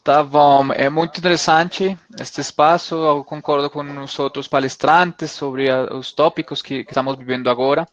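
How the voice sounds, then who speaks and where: A man's voice: on the high side, steady tone, normal speed, hardly any pauses, no room sound, muffled recording. A man whose first language is Spanish, speaking with a Spanish accent and searching for words as he gives closing remarks on a video call panel.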